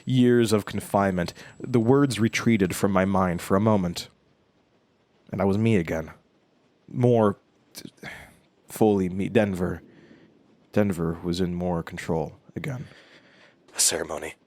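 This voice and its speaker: Low, slow, haunted man. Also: no echo. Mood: shaken, strained. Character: haunted, brooding man narrating his horror story. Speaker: narrator and main character. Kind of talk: audio drama narration and dialogue.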